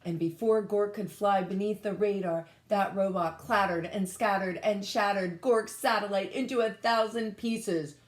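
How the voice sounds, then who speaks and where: A woman reading a storybook aloud to children in a dramatic, emphatic, expressive way. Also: medium pitch, a little slow, few pauses. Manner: dramatic, emphatic, engages listeners with questions. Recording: echoey room.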